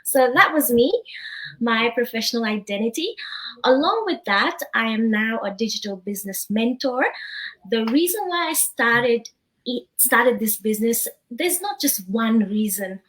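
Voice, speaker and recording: A woman's voice: on the high side, lively intonation, a little slow, fairly bright tone, poor audio. A woman in her thirties from Nepal, living abroad, talking through her story as an interview guest while a little unwell. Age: 30s. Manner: chatty, reflective, says "you know" often. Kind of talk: casual interview.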